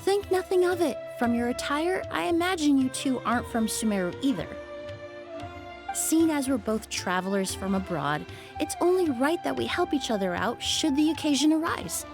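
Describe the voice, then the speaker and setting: A woman playing a courteous young perfumer in a video game, speaking in a composed, gently explanatory manner. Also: high-pitched, animated, moderate pace, music in the background. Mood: polite, composed. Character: poised, courteous young female perfumer, calm and knowledgeable. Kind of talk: video game character acting.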